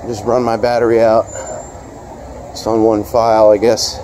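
A man narrating casually as he walks city streets, filming on his phone, with halting, rambling asides. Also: on the low side, completely flat delivery. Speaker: street videographer. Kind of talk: casual walking commentary. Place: city sidewalk outdoors. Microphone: handheld phone. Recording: wind and street traffic noise. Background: traffic and wind.

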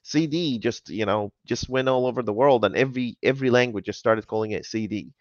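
A man's voice: mid-range voice, fairly quick. Man, 30s, podcast host, chatty, laughs a lot, home office, headset mic. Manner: enthusiastic, laughs often, acts out quotes. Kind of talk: casual video-call interview. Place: home office with monitors, indoors. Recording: dull video-call audio.

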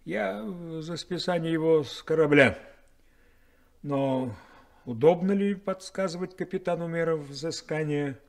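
Evasive, higher-voiced chief engineer. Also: male voice, gravelly. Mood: hesitant, uneasy. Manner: hesitant, halting, hemming. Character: evasive, resentful male ship's chief engineer. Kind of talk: 1970s Soviet radio play acting.

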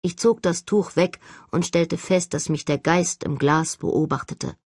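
A woman reading a first-person novel as an expressive, scripted narration. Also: fairly low pitch, unhurried, breathy edge, dry recording, studio quality. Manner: expressive, acts out dialogue. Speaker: audiobook narrator.

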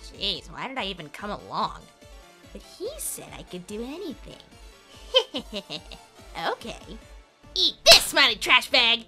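A woman voicing a small, brash, exasperated talking flower in a comic dub, scolding and insulting loudly. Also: fairly high pitch, big pitch swings, unhurried, over music. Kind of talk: dramatic comic dub voice acting.

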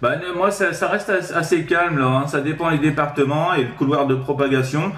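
A man's voice: a little high, fairly quick, fairly bright tone, roomy sound. A man making casual radio-contact chat as an amateur operator, repeating himself and ending phrases on little tag words. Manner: repetitive, with frequent tag words. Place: indoors at home, sixth-floor flat.

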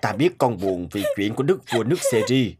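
A man voicing a middle-aged cartoon king, a doting father speaking gently and sorrowfully. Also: lowish voice, expressive, a little fast, heavy background noise, natural voice. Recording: loud background music.

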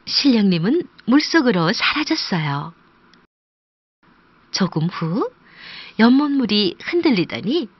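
Woman narrating a kids' folk tale, slow and lively, gentle sing-song, dry studio. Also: medium pitch, highs cut off. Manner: gentle sing-song telling, sometimes hushed. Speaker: storyteller. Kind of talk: scripted children's storybook narration.

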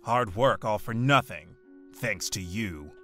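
A man's voice: fairly low pitch, animated, slow, fairly bright tone, soft background music. A man playing a resentful eldest mer prince in an audio drama, cutting and accusatory. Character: haughty, resentful eldest merman prince brother.

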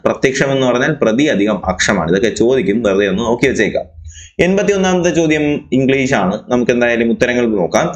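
A male exam tutor, talking through answer keys in a chatty, explanatory way with rhetorical questions. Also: mid-range voice. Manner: mixes English answer phrases into explanations. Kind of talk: answer key walkthrough.

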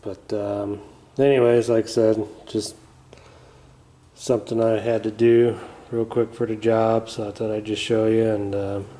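A man talks through a repair in a relaxed, mumbly way, letting sentences trail off into fillers. His voice sits low and mellow with little rise and fall. He records on a phone in his garage. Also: measured pace. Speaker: channel host. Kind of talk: casual hands-on repair vlog. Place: garage, working on a floor bench.

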